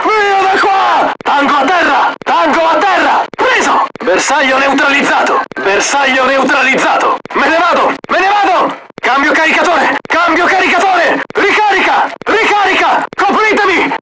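A man's voice: extremely high pitch, heavy background noise. A man voicing a tough mercenary soldier in a video game dub, giving clipped, barked callouts. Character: tough male mercenary soldier, terse and alert. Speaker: soldier voicelines.

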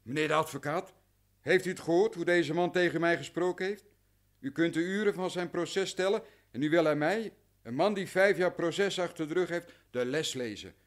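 An actor playing a servile, easily offended client in a radio play, deferential and plaintive. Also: male voice, a little high, moderate pace, lightly acted. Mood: indignant.

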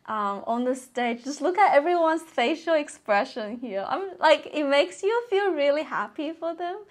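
High-voiced young woman reacting excitedly. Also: moderate pace. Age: young adult. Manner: expressive, exclaiming in awe. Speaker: reaction video host. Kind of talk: casual excited reaction commentary. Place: small bedroom, indoors. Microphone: clip-on lapel mic.

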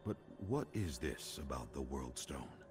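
A noble old chieftain's spirit in a fantasy game. He speaks in a low, gravelly voice, slow and stately, with music behind him. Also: animated, a little mellow. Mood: solemn, proud. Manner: solemn, stately oratory. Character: proud old warrior chieftain's ghost, noble and solemn.

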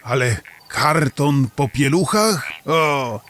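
Brief: man acting a nosy, grumbling waste-site worker in a kids' audio play, slow, talking to himself. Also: medium pitch, animated, a bit bright, slight background noise. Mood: grumbling, curious. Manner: muttering to himself. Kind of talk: children's audio drama acting.

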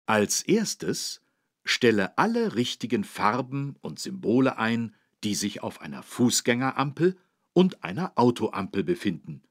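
A man's voice: medium pitch, wildly swooping pitch, unhurried, dry recording, studio quality. A man playing a friendly, helpful policeman who explains things simply to small children in a German cartoon game. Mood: instructive. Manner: didactic, clearly phrased for small children. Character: friendly, helpful adult male policeman teaching children road safety.

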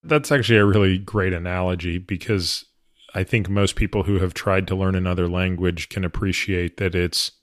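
A man's voice: low-pitched, no room sound, studio quality. A man hosting a podcast, asking long, thoughtful questions. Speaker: podcast host. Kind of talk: interview questions.

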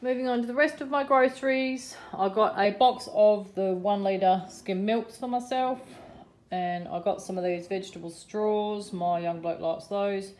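A woman's voice: medium pitch, a little slow, a little mellow. A middle-aged Australian woman chatting casually through her grocery haul, with frequent ums and price comments. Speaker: vlogger showing a grocery haul. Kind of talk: casual chat. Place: home kitchen or dining room. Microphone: phone camera held over a table.

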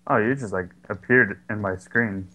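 A man's voice: on the low side, normal speed, sharp tone, poor audio. A young man chatting casually and sarcastically over a co-op horror game, swearing often. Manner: swears a lot, jokey and sarcastic. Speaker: gaming channel host. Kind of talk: casual co-op gameplay chat.

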